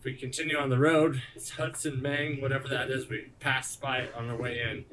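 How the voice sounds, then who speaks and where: A man talks offhandedly while on the move outdoors. He narrates a travel vlog along a trail in a middle-pitched voice. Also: little pitch movement, fairly quick, a bit bright, rough recording.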